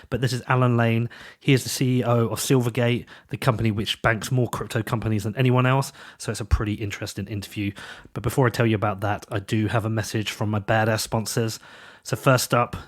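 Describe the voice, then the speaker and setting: A man hosting a podcast, talking through his intro and sponsor reads in a chatty, upbeat way while stuffy from a cold. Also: medium pitch. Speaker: podcast host.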